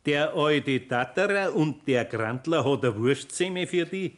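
A man's voice: fairly high pitch, lively intonation. Homely Bavarian master craftsman. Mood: probing, stern. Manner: unhurried, homely, chuckling, gently admonishing. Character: kindly, sly older male master craftsman. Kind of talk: 1960s radio play acting.

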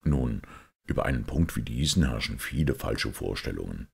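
A man voicing a grave, thoughtful priest detective who explains things in a measured, sombre way in an audiobook. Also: extremely low pitch, animated, gravelly, husky, exaggerated voice. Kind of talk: audiobook character acting.

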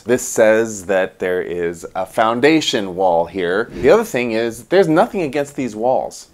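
A man in his thirties hosting a design show, walking through a floor plan in an animated way from his home office on a lapel mic. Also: mid-range voice. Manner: animated, gestures while explaining. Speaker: interior designer host.

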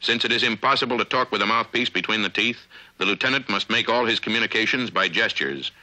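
An American man narrating a scripted 1950s military training film in a measured, authoritative, instructional way, on a vintage film soundtrack. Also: fairly low pitch, sharp tone, low-quality recording.

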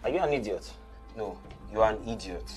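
A man's voice: fairly low pitch, lively intonation, brisk, a little mellow, soft background music, lightly acted. An actor playing an angry young male singer who scolds his manager. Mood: furious. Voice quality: exasperated, scolding. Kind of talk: TV comedy sketch acting.

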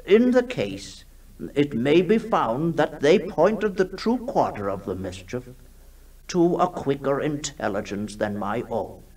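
A fussy period gentleman in an old radio play, husky and slow. His formal delivery halts and swoops, a little away from the mic. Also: on the high side, very animated, heavily acted. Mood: hesitant, earnest. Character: scholarly, hesitant old-time gentleman writing a solemn account. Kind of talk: 1960s radio drama acting. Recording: distant 1960s radio recording.

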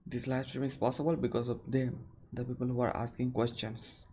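A young man answers viewer questions on a live stream from a small room. He speaks earnestly and haltingly, often restarting his sentences, and is recorded on a webcam. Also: medium pitch, flat delivery, warm tone, breathy edge. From India. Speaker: English-learning channel host.

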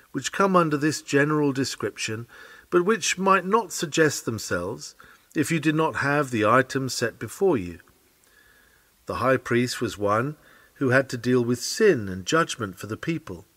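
A man reading an old-fashioned sermon aloud from a script in a measured, formal preaching manner. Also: mid-range voice, fairly bright tone, dry recording. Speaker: sermon reader.

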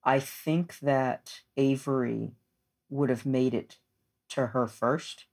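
A man playing a tabletop game, casually and deliberately thinking aloud as he describes his character's actions. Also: medium pitch, fairly even intonation, gravelly, a little mellow.

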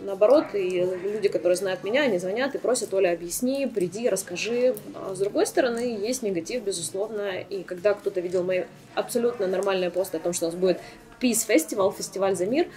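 A woman in her thirties from Kyiv, a salon owner, speaking as a guest in a casual interview. She tells her story with animated rises and plenty of filler sounds, recorded on a desk mic in a small sofa studio. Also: medium pitch, fast talker. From Kyiv, Ukraine. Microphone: desk microphone.

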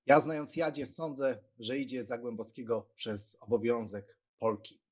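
A man playing a joking young gentleman with a wry, breezy manner in an acted audiobook. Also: mid-range voice, steady tone, moderate pace, close to an everyday voice. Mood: wry, resigned. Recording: slightly dull, lo-fi microphone sound.

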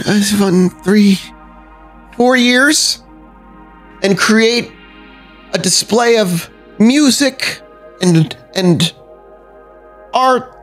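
A man's voice: extremely high pitch, a bit bright, pitched above the performer's own voice, heavily acted. A man voicing an eager, unhinged, theatrical vampire performer in a tabletop game, gushing thanks and repeating himself. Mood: grandiose showman. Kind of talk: in-character tabletop roleplay.

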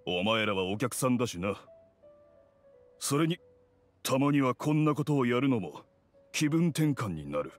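A man voicing a gruff, proud blacksmith in a video game, speaking in a blunt, measured, rough-spoken way. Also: mid-range voice, sing-song. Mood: matter-of-fact. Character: gruff, proud, no-nonsense male blacksmith craftsman. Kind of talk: Japanese video game voice acting. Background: background music.

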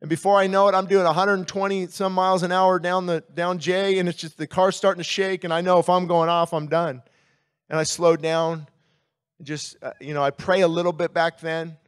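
Male pastor, 50s, American accent, high-pitched voice, candid storytelling sermon style, handheld mic on an outdoor stage. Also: flat delivery, clear, resonant tone. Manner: conversational, candid storytelling with pauses and repeated words. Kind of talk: sermon with personal testimony. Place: outdoor stage at a baseball stadium in the evening. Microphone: handheld microphone.

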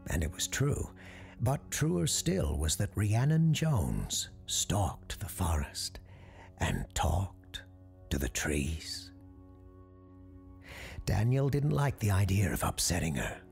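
A man playing a genial parapsychologist radio host who tells Christmas ghost stories in a husky, creaky, measured, genteel voice. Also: low voice, wildly swooping pitch, a little mellow, soft background music, exaggerated voice. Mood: storytelling. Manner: genteel, measured storyteller's delivery. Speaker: host and storyteller of an audio fiction show. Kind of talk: scripted storytelling, radio-show monologue.

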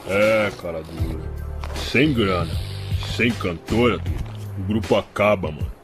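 An actor playing a gruff male insect who leads a rap group in a dubbed children's cartoon, speaking Brazilian street slang. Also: deep voice, unhurried. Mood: discouraged. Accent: Brazilian urban street slang. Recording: music behind the voice.

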